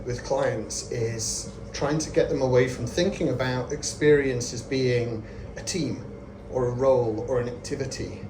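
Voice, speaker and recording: Man, 40s, conference speaker; conversational, filler-heavy delivery, mid pitch, echoey venue. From Scotland. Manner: friendly, self-deprecating, conversational with many fillers. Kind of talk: lightning talk at a meetup. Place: event venue with audience. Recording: echoey room with ambient noise.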